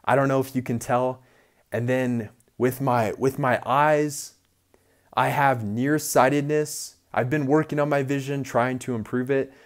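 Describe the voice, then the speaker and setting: Young man, nasal, unhurried delivery, earnest upbeat vlogger speaking into an earphone mic in a small room. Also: mid-range voice. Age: young adult. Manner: upbeat, earnest, talks to camera. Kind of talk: casual self-improvement vlog. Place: small indoor room by a door. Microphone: earphone headset mic.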